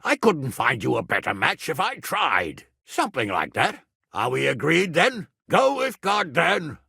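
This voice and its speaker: A man playing a middle-aged medieval lord and guardian in a video game, blunt, commanding and earthy, with a gravelly, raspy voice. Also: on the high side, wildly swooping pitch, unhurried, bright, forceful tone, no room sound, clean studio sound, exaggerated voice. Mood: weary, firm. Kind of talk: dramatic video game dialogue.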